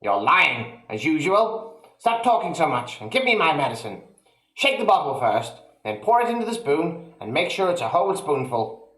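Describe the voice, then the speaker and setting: A man's voice: fairly high pitch, very animated, moderate pace, pitched above the performer's own voice. A man reading a story aloud, voicing a cantankerous old grandmother who screeches and bosses people around. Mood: scolding. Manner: screeching, bossy, shouting. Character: shrill cantankerous old grandmother, bossy and nasty. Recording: roomy echo.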